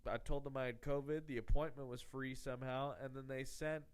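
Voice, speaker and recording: A man's voice: medium pitch, fairly even intonation, fairly quick, clean tone. A man hosting his podcast in a rambling, profane, sarcastic monologue, his voice nasal and congested from illness. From Texas. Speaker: podcast host. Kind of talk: casual comedic monologue.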